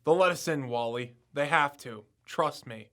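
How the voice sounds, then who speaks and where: Man playing a cocky amateur detective, highish voice. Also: moderate pace, fairly bright tone, close to an everyday voice. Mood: confident. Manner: self-assured, theatrical, pun-loving. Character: cocky, dogged young male amateur detective. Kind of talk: audio drama acting.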